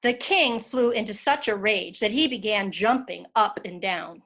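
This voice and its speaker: A woman's voice: medium pitch, unhurried, fairly bright tone. A woman reading scripted story narration as a narrator in a readers theater.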